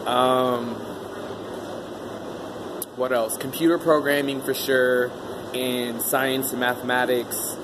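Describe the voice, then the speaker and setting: A man's voice: mid-range voice, flat delivery, slow pace, pauses often. Rambling man over humming room noise. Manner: rambling, many um fillers. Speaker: vlogger interviewing himself. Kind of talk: casual self-interview monologue.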